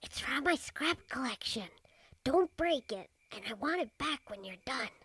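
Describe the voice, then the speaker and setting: Woman playing a high-voiced scrappy streetwise little girl. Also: animated, unhurried, fairly bright tone, slightly rough, full character voice. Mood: bossy. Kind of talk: radio detective drama acting.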